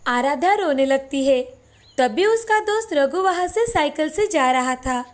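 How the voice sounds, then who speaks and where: A woman's voice: sits high, animated, a little slow. A woman reading a scripted children's story as narrator. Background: faint music.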